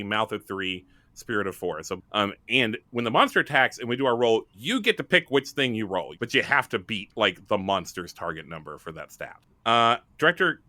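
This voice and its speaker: A man's voice: mid-range voice, sharp tone, dry recording. A man chatting casually as he explains rules and plays in a tabletop roleplaying game.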